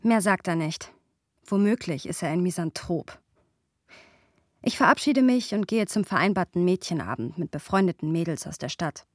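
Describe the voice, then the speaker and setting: An actress playing a thoughtful, poetic and playful young woman in a German radio play, with a breathy, husky voice. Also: on the low side, expressive, moderate pace. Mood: narrating. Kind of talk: dramatic radio play acting and narration.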